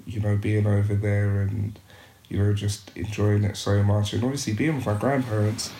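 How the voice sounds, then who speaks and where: Young male podcast guest, low voice, thoughtful and halting, roomy echo. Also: flat delivery, mellow, slight background noise. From United Kingdom. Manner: reflective, frequent restarts and "um"s. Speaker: podcast guest, youth worker. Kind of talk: casual interview conversation.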